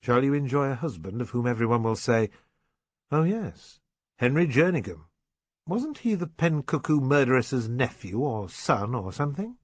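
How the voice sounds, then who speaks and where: A man voicing an anxious, intense young English gentleman in an audiobook novel, urgent and well-bred. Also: medium pitch, lively intonation, normal speed, no echo, lightly acted. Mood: bitter, wry.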